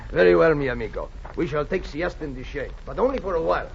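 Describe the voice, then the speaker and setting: A man's voice: on the high side, lively intonation, fast, creaky. An actor playing a jolly, teasing Mexican horseman with a Spanish accent, in playful 1940s radio style. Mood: teasing, amused. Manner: chuckling, playful, theatrical. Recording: muffled, noisy 1940s radio recording.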